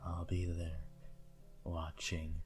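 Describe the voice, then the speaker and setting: A man playing a possessive, seductive vampire prince in a teasing, intimate ASMR roleplay with quiet menace. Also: low voice, monotone, takes it slowly, faint music behind. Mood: patient, menacing.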